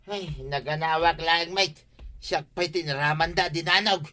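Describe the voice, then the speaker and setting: Piercing, excitable Ilocano village husband. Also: male voice, fairly high pitch, unhurried. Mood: complaining. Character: excitable, battered village husband, loud and complaining. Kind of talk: Ilocano radio comedy drama acting.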